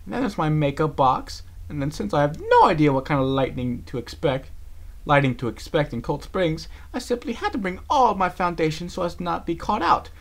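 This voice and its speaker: A man voicing a theatrical, fashion-obsessed female unicorn pony with an affected posh, breathy manner. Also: fairly high pitch, very animated, brisk, voice pushed up. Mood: haughty. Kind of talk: amateur audiobook reading of fan fiction.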